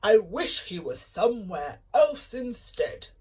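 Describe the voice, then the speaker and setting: A woman voicing a huge, grumpy male giant who roars his lines in a booming chant. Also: big pitch swings, slow pace, a little mellow, husky, heavily acted. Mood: roaring.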